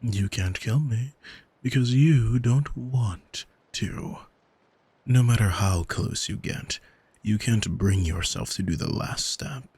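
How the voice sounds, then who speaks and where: Man playing a languid, husky, arrogant male demon. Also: medium pitch, slow pace, darkish tone, no room sound. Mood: teasing, stern. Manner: languid, teasing, commanding, condescending. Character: arrogant male demon, seductive, condescending, coolly manipulative captor. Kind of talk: ASMR roleplay audio drama, intimate address to listener. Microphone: close microphone, dry.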